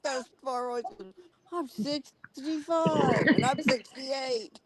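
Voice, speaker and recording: A woman's voice: high-pitched, fairly even intonation, crowd noise. A woman in her sixties hosting a casual live chat over a video call, telling family stories and laughing easily. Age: 60s. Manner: chatty storyteller, quick to laugh. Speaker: host.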